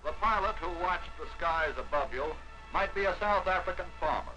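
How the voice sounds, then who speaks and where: A man narrating a 1940s wartime documentary in a measured, declamatory newsreel manner. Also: high-pitched, over music, poor audio.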